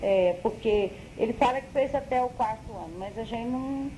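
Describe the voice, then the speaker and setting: A woman's voice: a little high, moderate pace, warm tone, a little hiss or hum, poor audio. A middle-aged woman recalling her family history in an interview, explaining things carefully and hedging as she reasons aloud. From Brazil. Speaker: interviewee, daughter.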